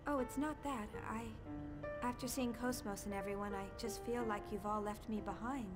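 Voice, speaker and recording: Higher-pitched polite, wistful young woman scientist played by an actress. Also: steady tone, moderate pace, loud music behind the voice, natural voice. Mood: wistful, downcast. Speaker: game voice actor. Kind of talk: English game cutscene acting.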